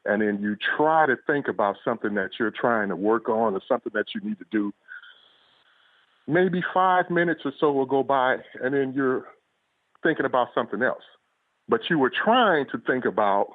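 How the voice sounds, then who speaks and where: A man's voice: mid-range voice, no room sound. Man on a tinny phone line. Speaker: guest, police officer and author. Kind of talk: casual reflective interview talk. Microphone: phone or call line.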